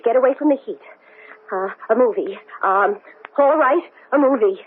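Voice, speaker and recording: Actress doing a high intense lady scientist, scared n stubborn, old radio drama, tinny. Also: moderate pace, lightly acted. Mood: frightened, distraught. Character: brilliant woman scientist, disbelieving, frightened, headstrong. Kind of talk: 1970s radio drama acting.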